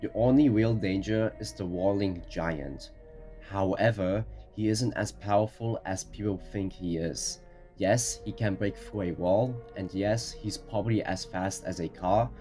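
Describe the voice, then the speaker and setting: A man's voice: low-pitched, mellow. A man reading scripted explainer narration in a matter-of-fact, orderly way. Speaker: narrator of a ranking video. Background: background music.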